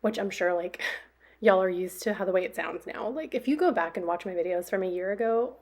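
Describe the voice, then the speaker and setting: A young woman talks through her week in a relaxed, rambling voiceover. Her voice is noticeably hoarse and worn, and she fills her sentences with ums and little laughs. Her pitch sits in a middle range. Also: fairly quick. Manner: rambling, chatty, many fillers and little laughs. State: extra hoarse after a workout. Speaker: planner vlogger. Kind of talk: casual voiceover chat.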